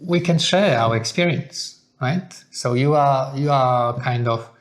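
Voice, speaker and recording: A man speaks at a middling pitch. He addresses viewers as a co-host in a relaxed chat, laying out his thoughts in a measured, earnest manner. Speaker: co-host of a couple's video channel.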